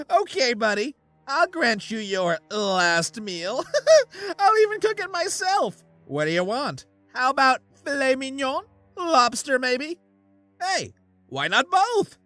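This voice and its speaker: A man voicing a manic, sadistic talking sardine can in comedic audio fiction, shrill and strained, mocking and foul-mouthed. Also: wildly swooping pitch, slow pace, bright, forceful tone, dry recording, faint music behind, heavily acted. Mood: mocking, gleeful.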